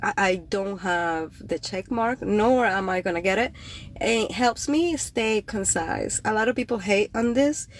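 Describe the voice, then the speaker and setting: A woman's voice: mid-range voice, unhurried, a little hiss or hum. A woman from Cuba whose first language is Spanish, hosting a livestream podcast in English with a Cuban accent, chatting in a meandering way with many fillers. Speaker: podcast host and poet. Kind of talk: casual livestream chat greeting viewers.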